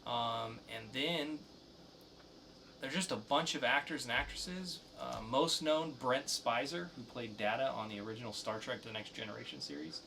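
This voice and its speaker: A man with a mid-pitched, bright voice co-hosts a casual news chat podcast. He talks conversationally, and a light layer of background noise sits under his voice. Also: normal speed, gravelly. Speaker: podcast co-host.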